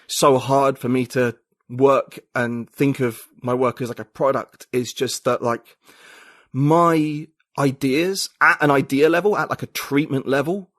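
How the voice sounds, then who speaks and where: A man's voice: mid-range voice, steady tone, a little slow, fairly bright tone, no echo, clean studio sound. A man, a writer and podcaster, talking as an interview guest, reflective and thinking aloud with many fillers. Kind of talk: casual interview conversation.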